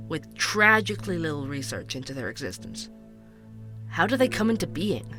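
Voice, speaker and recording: A man playing an earnest night-shift worker turned investigative podcaster, narrating a scripted show in a confiding, musing way. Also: fairly high pitch. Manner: musing, confiding, addresses listeners directly. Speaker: podcast host.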